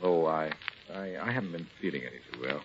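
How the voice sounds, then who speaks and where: A muffled, hissy vintage radio recording carries a middle-aged professor with a low voice. He is guilty and evasive, stumbling and hesitating through his words in 1940s drama style. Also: animated, fast. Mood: uneasy. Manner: hesitant, stammering, nervous little laughs.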